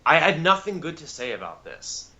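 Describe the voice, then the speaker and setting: A man co-hosting a podcast in casual, blunt chat, halting and repeating words emphatically, on slightly dull audio. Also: on the high side, big pitch swings, sharp tone. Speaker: podcast co-host reviewing audio dramas. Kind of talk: casual, sweary review chat.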